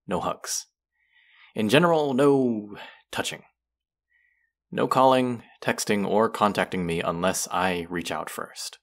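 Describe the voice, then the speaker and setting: Casting: male actor as rude, smug college twin for audio roleplay; low voice, heavy fry, sardonic drawl. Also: exaggerated voice. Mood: haughty, dismissive. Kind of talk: scripted roleplay addressed to the listener.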